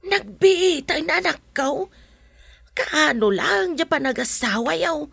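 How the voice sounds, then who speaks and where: An actress with an Ilocano accent playing a fussing village mother in a radio drama, with a raspy, creaky voice. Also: high voice, expressive, slow, fairly bright tone, dry recording, full character voice. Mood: fussing, impressed.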